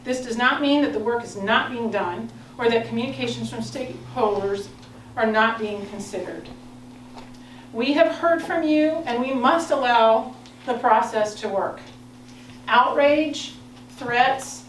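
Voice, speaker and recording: An American woman reading a prepared statement as a board president, in a formal, measured, firm manner. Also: fairly high pitch. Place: indoor public board meeting. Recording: echoey meeting room, distant mic, noise.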